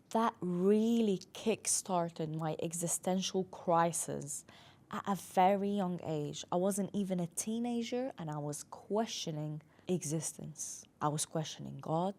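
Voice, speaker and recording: A young woman whose first language is not English talks straight to her listeners and shares her own story. She speaks earnestly and with emphasis, and she slows down to stress single words. Her voice sits at an ordinary middle pitch. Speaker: mental health professional. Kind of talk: personal storytime monologue.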